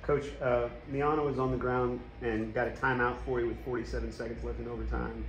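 A man's voice: medium pitch, flat delivery, a little fast, reverberant, a little hiss or hum, rough recording. A man asking a coach conversational follow-up questions at a press conference. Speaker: sports reporter.

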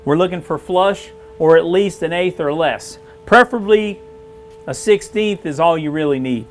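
A middle-aged man, a weld test supervisor, explaining a visual weld inspection in a shop and often clearing his throat. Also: a little high, moderate pace, soft background music. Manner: frequent throat clearing, plain-spoken explaining. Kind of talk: instructional demonstration. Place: indoor welding shop.

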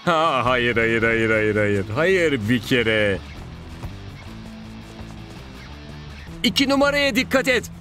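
A man voicing an excitable, suspicious, street-smart young footballer in a dubbed cartoon, talking in a slangy, animated way. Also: mid-range voice, measured pace, soft background music. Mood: flustered.

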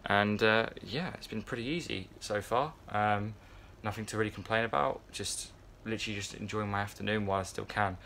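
A man's voice: on the low side, fairly even intonation, a little fast, vocal fry, few pauses, pushed and bright, slight background noise. A man in his twenties, chatting casually to a phone camera in a yacht crew room, rambling with lots of fillers and restarts. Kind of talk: casual vlog chat to camera. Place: yacht crew area, indoors, fan nearby. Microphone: phone camera at arm's length.

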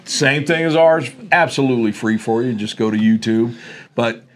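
A man's voice: medium pitch, normal speed. A man in his fifties hosting a fishing show, chatting casually in a folksy, plain-spoken way. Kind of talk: casual interview chat. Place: indoor office-like room. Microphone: clip-on wireless mic.

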